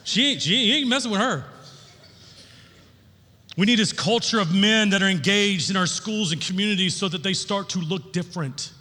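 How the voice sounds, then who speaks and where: A middle-aged man delivers an informal sermon from a church stage. His voice sits high for a man, with a bright, forceful edge. He speaks candidly in a folksy storytelling style, and a long reverb trails his words through the hall. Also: moderate pace. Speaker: pastor. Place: large church auditorium, on stage.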